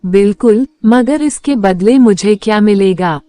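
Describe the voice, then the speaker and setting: A woman's voice: medium pitch, measured pace, warm tone, no room sound, lightly acted. A woman voicing a cunning, insinuating male fox in a cartoon story. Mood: sly. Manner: insinuating, bargaining.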